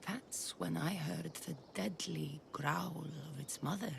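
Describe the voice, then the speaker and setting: An actress playing a bitter young Greek warrior woman in a dramatic video game, speaking with menace and gravel in her voice. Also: low-pitched, a little hiss or hum, exaggerated voice. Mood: menacing. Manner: menacing, deliberate.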